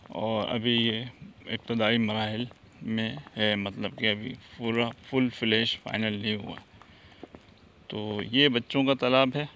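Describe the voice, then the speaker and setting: A man walking through a farmhouse with his phone camera, pointing things out in a relaxed, plain way. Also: medium pitch, slight background noise. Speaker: vlogger giving a property tour. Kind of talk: casual walkthrough narration. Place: outdoors and indoors at a farmhouse. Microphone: phone camera held by the speaker.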